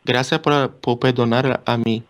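A man's voice: medium pitch, fairly even intonation, moderate pace. A man from Brazil with a Brazilian accent, a pastor leading prayer and talking earnestly on a call-in ministry show. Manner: earnest, repeats phrases, preaching tone. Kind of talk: radio ministry talk and prayer.

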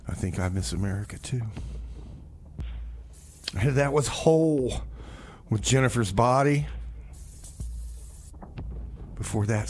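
A man's voice: on the low side, darkish tone, a little hiss or hum. A man hosting a community radio music show, talking casually like a DJ with wry, offhand asides. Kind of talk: casual radio DJ talk, announcing songs and local events.

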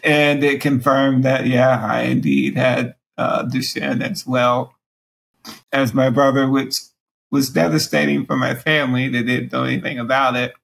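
Man, 40s, raspy and gravelly, halting delivery through a breathing machine, interview guest over video call. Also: mid-range voice, level tone, fairly bright tone. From Alabama. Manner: speaks around a breathing machine mouthpiece, pausing often. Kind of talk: candid personal interview. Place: small room at home, indoors.

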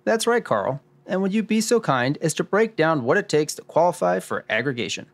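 A man's voice: a little high, sing-song, fairly quick. A man playing a confident sleuth who explains and guides in an old-time radio drama. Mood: assured. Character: confident male sleuth-like host guiding the case. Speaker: host. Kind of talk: 1940s radio drama acting.

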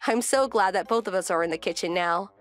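A woman's voice: mid-range voice, fast talker, soft background music, close to an everyday voice. A woman voicing a fed-up young wife who narrates her family grievances in a conversational, pointed way for a manga dub. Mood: firm, reflective.

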